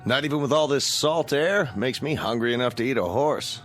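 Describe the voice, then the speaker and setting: A man's voice: mid-range voice, brisk, a bit bright, soft background music, lightly acted. An actor playing a sociable, insistent male passenger with a jovial, chatty, folksy manner.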